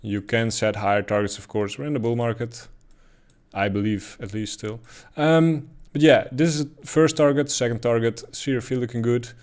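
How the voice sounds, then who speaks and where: A man with a Dutch accent talks through trading charts at an easy, unhurried clip. His pitch sits in a middle register, and he fills pauses with ums and uhs as he thinks out loud. It sounds like a relaxed voice-over recorded at a home desk. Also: smooth. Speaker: crypto chart analyst. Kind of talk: casual market commentary over charts.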